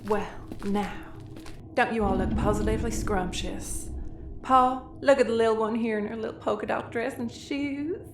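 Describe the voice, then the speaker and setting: A gushing hostess acted by a woman sweet-talks in a high, sugary voice. She is a folksy audio comedy character, heard in a roomy echo over faint ambient noise. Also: moderate pace, close to an everyday voice. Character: gushing, sugary Southern American hostess wife. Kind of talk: sci-fi audio comedy acting. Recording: echoey room, faint background noise.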